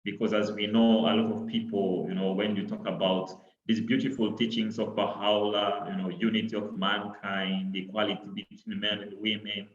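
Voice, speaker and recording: A middle-aged man from Congo with a Congolese accent, his first languages Kiswahili and French, giving an online talk in a halting way full of repeats and "you know". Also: lowish voice, flat delivery. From Democratic Republic of Congo. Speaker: presenter. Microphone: laptop on a video call. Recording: echoey, dull laptop audio.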